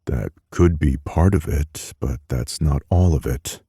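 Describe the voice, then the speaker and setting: A man's voice: extremely low pitch, a little slow, dark tone, no room sound, studio quality, exaggerated voice. A man voicing a blunt, self-deprecating young roommate. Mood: dry.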